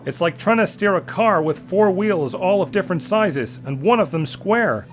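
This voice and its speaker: A man plays a brave young male rescue pilot. The voice is raised in pitch, strained and determined. Music runs clearly underneath this dramatic adventure story. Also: animated, moderate pace. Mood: tense flippancy. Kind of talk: dramatic audio story reading.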